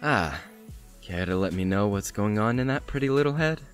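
A man playing a young male vampire, hot-tempered yet tender, in an animated roleplay drama. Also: lowish voice, normal speed, soft background music, natural voice. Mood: gentle, concerned.

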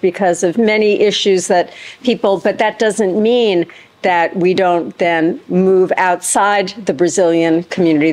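A woman moderator speaks at a panel table, introducing the next speaker with a middle-pitched voice. She talks in loose runs broken up by fillers. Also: a little mellow. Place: conference room with panel table.

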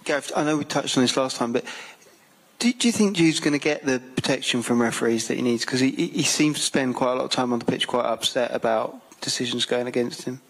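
A man's voice: mid-range voice, fast. A male journalist asking a direct question at a press conference.

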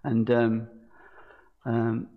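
A man's voice: medium pitch, completely flat delivery, very slow, phone-quality sound. An older man giving an informal sermon from a lectern in a church, chatting and asking the congregation questions. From England. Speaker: preacher. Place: church hall stage at a lectern.

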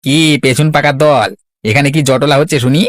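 A man's voice: on the high side, fairly quick, no room sound, studio quality, close to an everyday voice. Cartoon village villain , male actor: headman's scheming assistant, taunting and bossy. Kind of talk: Bengali cartoon villain dialogue.

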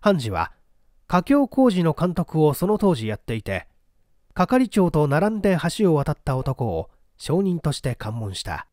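A man reads mystery fiction as narrator. His voice is on the high side and moves widely in pitch. The recording is dry and close. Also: wildly swooping pitch, measured pace, a little mellow, dry recording. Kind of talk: scripted mystery story narration.

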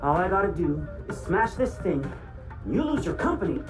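A man's voice: high voice, very animated, moderate pace, distant mic, over music, low-quality recording. A man playing a manic, vengeful hacker prankster in a video game, with a theatrical, mocking, foul-mouthed delivery. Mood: taunting. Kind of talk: video game villain performance.

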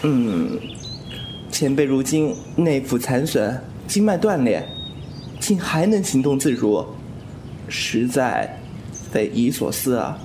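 An actor playing a frank, inquisitive, cheeky young swordsman in a wuxia audio drama, with an expressive, exclamatory delivery. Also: medium pitch, slow, long pauses, slight background noise. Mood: respectful. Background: music.

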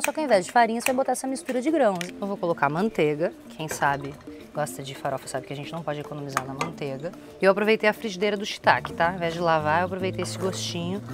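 A woman in her thirties with a Brazilian accent, casually explaining a recipe step by step in an informal, chatty way. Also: mid-range voice. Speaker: cooking show host. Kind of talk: casual cooking demonstration. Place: open-sided thatched outdoor kitchen. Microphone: lapel.

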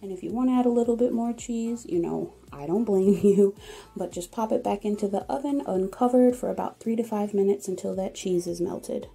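A woman's voice: mid-range voice, a little fast, creaky, mellow, breathy edge. A woman hosting a home cooking channel, giving chatty, encouraging step-by-step recipe voice-over.

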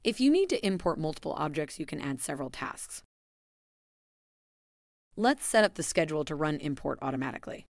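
A woman giving a scripted, even voice-over that walks through software steps. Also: sits low, brisk, creaky, pauses often, dry recording, clean studio sound. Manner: even, instructional delivery. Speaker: software tutorial narrator.

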